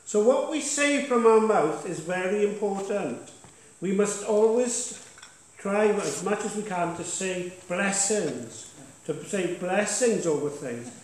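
Man pastor, slightly raised pitch, firm exhortation to a congregation, heavy church echo. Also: slight background noise. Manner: emphatic, declaring, asks the congregation questions. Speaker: pastor leading worship. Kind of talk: sermon exhortation. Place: church sanctuary. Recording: echoey church hall.

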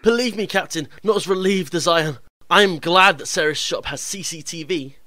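A man's voice: sits high, fairly quick, sharp tone, no echo, clean studio sound. A man playing an earnest young hero in a comic radio pantomime, with theatrical delivery.

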